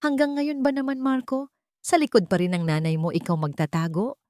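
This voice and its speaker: A woman's voice: fairly high pitch, flat delivery, fairly quick, warm tone, no room sound, studio quality, pitched above the performer's own voice, natural voice. A woman voicing a wronged wife who is bitter, defiant and finally done, in a dramatized audiobook. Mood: bitter, angry.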